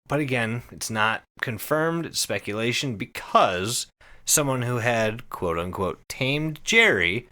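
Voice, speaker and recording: A man hosting a podcast, explaining things casually and comedically to friends in an animated, sweary, conversational way. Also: lowish voice. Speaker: podcast host.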